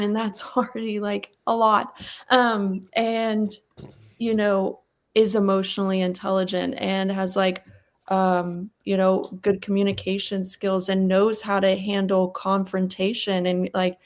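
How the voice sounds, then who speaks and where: A woman in her twenties from Texas, a guest on a panel, talking casually and filling her sentences with "like" and "you know.". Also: mid-range voice. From Texas, United States. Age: 20s. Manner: chatty, lots of "like" and "you know".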